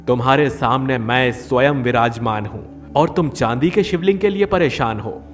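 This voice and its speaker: Guy playing a calm majestic god, formal and stately, medium pitch, light music behind. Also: normal speed, close to an everyday voice. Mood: calm, firm. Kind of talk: devotional story dramatization.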